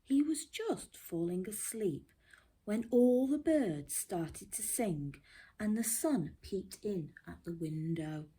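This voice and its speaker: A woman reads a storybook to young children at a slow, careful pace. Her voice is slightly husky, and she often sinks to a hushed near-whisper. She is expressive and pauses to put questions to her listeners like a teacher. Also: medium pitch, rough recording. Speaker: primary school teacher reading a storybook.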